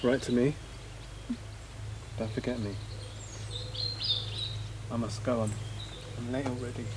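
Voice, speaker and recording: An actor in an audio drama playing a wistful, idealistic man who speaks reflectively and haltingly, as if making a speech. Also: mid-range voice, fairly even intonation, very noisy, lightly acted. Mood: reluctant farewell. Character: wistful departing man, hopeful philosophising idealist, father. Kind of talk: naturalistic audio drama acting.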